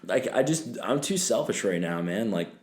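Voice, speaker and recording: A man in his twenties chatting loosely on a podcast, his voice a little low, filled with fillers and curses. The room echoes around him. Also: expressive, normal speed, a little mellow. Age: 20s. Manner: loose, swearing, laughing, trailing fillers. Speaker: podcast guest. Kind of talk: casual chat.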